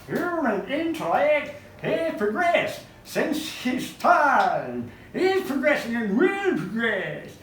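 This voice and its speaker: A man voicing a pompous, formal Victorian American gentleman with an exaggerated Yankee accent, in a story reading. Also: very high voice, big pitch swings, takes it slowly, pitched above the performer's own voice, full character voice. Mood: pompous agreement. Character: lanky stovepipe-hatted Victorian American man, earnest heckler. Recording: echoey room, distant mic, background noise.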